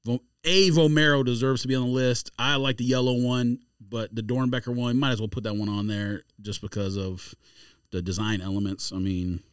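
A man's voice: medium pitch, steady tone, moderate pace, clear, resonant tone, no room sound, clean studio sound. A man chatting casually as a podcast panelist while running through a ranked list in an offhand, teasing way.

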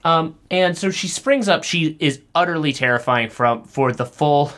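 A podcast co-host chatting casually about a film, retelling scenes in an animated way and acting out the characters' lines. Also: medium pitch, normal speed. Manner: acts out characters' lines while recounting.

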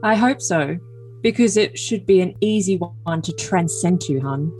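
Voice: medium pitch, lively intonation, measured pace, darkish tone, soft background music, lightly acted, rough recording. A performer playing a doting young boyfriend, earnest and stilted, in an overly dramatic reading of text messages.

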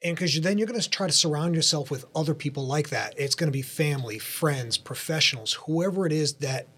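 A man's voice: fairly high pitch, brisk, fairly bright tone, breathy edge. A man co-hosting a financial radio show in a casual, chatty way. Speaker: radio co-host.

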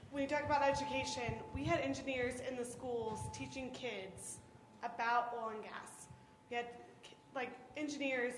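A woman from Oklahoma asks a panel a question in a chatty, hesitant way, with plenty of fillers. Her voice is a little higher than most. The hall echoes around her and clear background noise runs under her voice.

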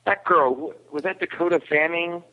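A man's voice: a little high, moderate pace, slight background noise. A man chatting casually as a podcast guest, hesitant and searching for words. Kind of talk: casual chat, film review. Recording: tinny phone-like line.

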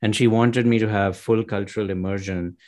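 Low-pitched guy talking about his book, measured and articulate, laughs a bit. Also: fairly even intonation, a little mellow. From United States and Pakistan. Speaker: author and academic guest. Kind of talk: interview answers.

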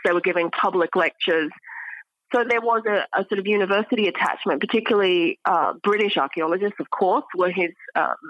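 A woman speaking as an academic guest in an interview, explaining things in a measured, scholarly manner. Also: fairly low pitch, no room sound, dull audio. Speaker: guest academic, archaeology professor.

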